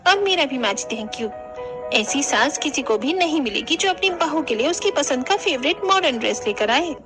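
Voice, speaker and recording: A woman's voice: high voice, lively intonation, normal speed, over music. A woman voicing a vain, mocking, fashion-obsessed young daughter-in-law in a cartoon, with an affected manner. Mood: eager.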